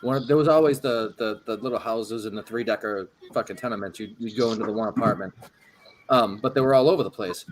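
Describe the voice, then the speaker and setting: A relaxed man with a voice a touch low tells his stories at an easy pace and fills the gaps with ums. A faint hiss runs under the recording. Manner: laid-back, unhurried storyteller with frequent ums. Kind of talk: casual chat, storytelling.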